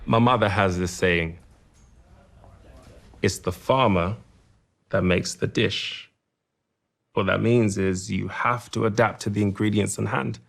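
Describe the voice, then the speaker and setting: Young team boss, game drama: heavy vocal fry, lowish pitch, earnest and measured. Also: male voice, fairly even intonation, fairly bright tone, full character voice. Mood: reflective, proud. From South London. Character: earnest, hopeful young male racing team principal. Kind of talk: documentary-style interview, measured and sincere.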